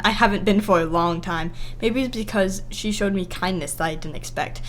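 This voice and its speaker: A woman playing a wry, cynical private detective with a soft heart, narrating and talking in a radio drama in a dry, understated way. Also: lowish voice, natural voice. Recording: some background noise and ambience.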